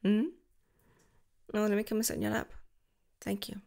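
A young woman doing a girlfriend roleplay to the listener, soft and affectionate, giggling and slipping into whispers. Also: medium pitch, monotone, moderate pace. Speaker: ASMR roleplay creator.

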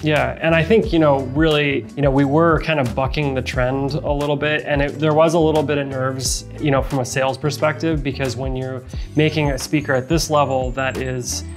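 A man's voice: mid-range voice, level tone, brisk, over music. A man speaking as a company representative and interview guest, giving a technical product explanation in a conversational, explanatory way.

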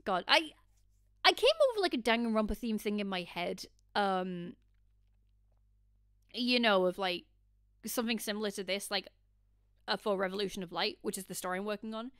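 A woman's voice: mid-range voice, moderate pace, pauses often, fairly bright tone, clean tone, no echo, studio quality. A woman streaming a casual let's play, rambling and throwing in reactive asides as game music plays behind her. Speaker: streamer reading all characters.

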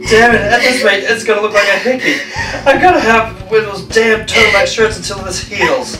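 A man's voice: high voice, a little fast, natural voice. A man playing a cocky, crude young prisoner in a film. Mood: irritated joking. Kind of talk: film acting. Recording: echoey, distant, music behind.

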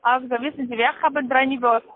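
A woman with a foreign accent giving a sales pitch over the phone, in halting, careful non-native phrasing. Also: steady tone. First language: not German. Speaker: call centre account manager. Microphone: telephone. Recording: muffled narrow-band phone line.

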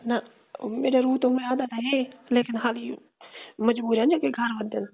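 Female caller, Saraiki accent, fairly high gravelly voice, casual intimate talk on a tinny phone line. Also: level tone, unhurried. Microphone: telephone line. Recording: tinny phone call recording.

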